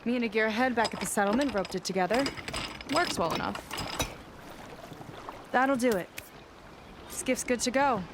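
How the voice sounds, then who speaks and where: An actress voicing a confident young woman boat captain who talks in a calm, assured way and takes charge. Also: a little high, normal speed, pauses often, noisy background, close to an everyday voice. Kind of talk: video game cutscene acting. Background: game score music.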